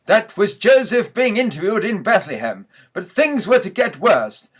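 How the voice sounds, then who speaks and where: A man's voice: high-pitched, sing-song, normal speed, breathy edge. A man reading a scripted mock news bulletin as the newsreader in a church sketch.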